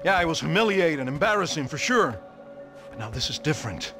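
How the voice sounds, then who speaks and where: A man's voice: fairly high pitch, very animated, brisk, bright, forceful tone, full character voice. An actor playing a dejected, wealthy businessman, self-pitying, in a video game cutscene. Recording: music behind the voice.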